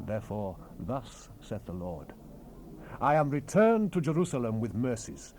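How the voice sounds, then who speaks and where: A man's voice: medium pitch, big pitch swings, measured pace. A man acting a fervent Bible prophet in a dramatized King James reading, solemn and declamatory, with archaic phrasing. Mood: fervent proclamation. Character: fervent male Bible prophet proclaiming visions and God's words. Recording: noisy unprocessed 1970s cassette rip.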